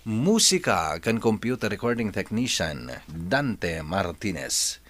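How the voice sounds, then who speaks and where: A man's voice: fairly low pitch, big pitch swings, takes it slowly, a bit bright. A man with a Filipino accent hosting a radio drama programme in a dramatic, scripted presenting style. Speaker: radio drama host and narrator.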